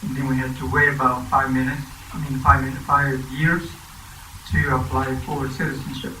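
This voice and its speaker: A man tells his immigration story at a church gathering in an even, level tone with little rise and fall. The sound is distant and echoing, as from across a large room, with faint background noise. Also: mid-range voice, normal speed. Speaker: symposium panelist. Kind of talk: personal testimony. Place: church hall. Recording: echoey church hall from far off.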